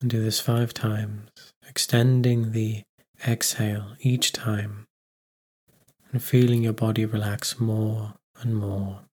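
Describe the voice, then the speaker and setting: A man with a fairly low, husky voice narrates a guided meditation. His delivery stays very flat and even. He gives gentle instructions and leaves unhurried pauses between phrases.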